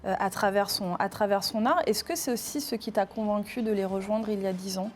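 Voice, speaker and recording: A woman hosts a broadcast interview at a mid pitch. She asks questions and prompts her guest, often finishing the guest's sentences to keep things moving. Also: fairly quick, soft background music. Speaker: interviewer host.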